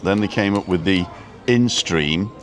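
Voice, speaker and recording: A man's voice: low-pitched, measured pace, faint music behind. An English man in his sixties hosting a casual live stream outdoors, chatting with lots of fillers. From England. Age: 60s. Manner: chatty, rambling, with frequent uh fillers. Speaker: host of a live walking stream. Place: outdoors on a busy street. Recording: loud crowd noise.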